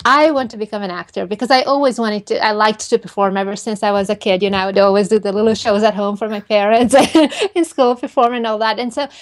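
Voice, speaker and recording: A woman's voice: medium pitch, fast, few pauses. Female interview guest, middle-register voice, chatty storyteller in an easy conversational style. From Hungary and Romania. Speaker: interview guest, PR and marketing expert.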